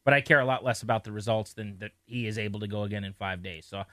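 A man hosting a sports podcast with conversational commentary and deliberate, emphatic phrasing. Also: lowish voice, fairly even intonation, pushed and bright, no echo, studio quality.

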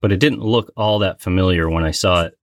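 A man's voice: low voice, brisk, no room sound, clean studio sound. A man co-hosting a casual, playful quiz with banter. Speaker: co-host and quiz player.

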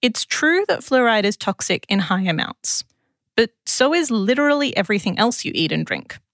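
A woman's voice: on the high side, big pitch swings, moderate pace, fairly bright tone, no room sound, studio quality. A woman with an Australian accent presents a scripted science explainer in a conversational, lightly wry way.

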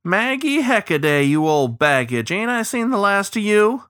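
A man's voice: high voice, big pitch swings, moderate pace, fairly bright tone, dry recording, clean studio sound, voice pushed up. A man voicing a smug, teasing old male killdeer riverboat hand with a folksy, boastful drawl. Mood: delighted ribbing.

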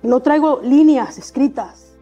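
Grieving mother, raw and insistent, mellow and fairly high, speaking off the cuff into a handheld mic. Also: moderate pace, highs cut off, soft background music. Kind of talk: unscripted public speech. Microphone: handheld microphone.

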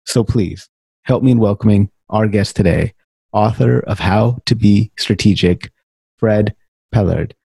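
A man's voice: fairly low pitch, unhurried, creaky, warm tone, breathy edge, dry recording. A man hosting a podcast interview, reading out a formal guest introduction and asking friendly questions. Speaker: podcast host.

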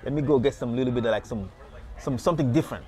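Fast-talking man outdoors. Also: medium pitch, a little mellow. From Senegal. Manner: rambling, repeats words, lots of "you know". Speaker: market booth owner. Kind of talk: casual street interview. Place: outdoors at a beach market booth.

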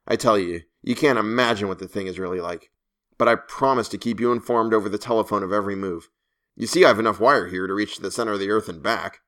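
Male reader as confident explorer, calm, lowish voice, measured, formal, condescending, horror audiobook. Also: natural voice. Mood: composed. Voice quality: mellow. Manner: coolly unperturbed, measured, formal.

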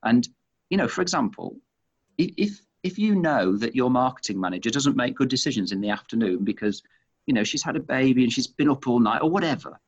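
A man's voice: mid-range voice, expressive, a little fast, breathy edge, lo-fi sound. A middle-aged man with a Manchester accent, head of an organization, answering interview questions on leadership and often repeating words as he works out his point. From England.

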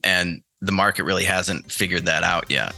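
An American man talking casually as an interview guest, thinking aloud in a halting way. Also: low-pitched, gravelly, bright, forceful tone, soft background music. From United States. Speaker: podcast guest, trader.

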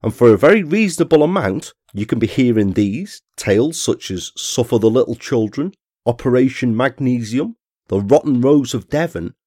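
A man hosting a true crime podcast, reading an ad and chatting to listeners, his voice a little croaky from a cold. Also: medium pitch, animated, normal speed, mellow, dry recording, clean studio sound. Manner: chatty, friendly asides to listeners. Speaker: podcast host. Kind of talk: scripted podcast narration and ad read.

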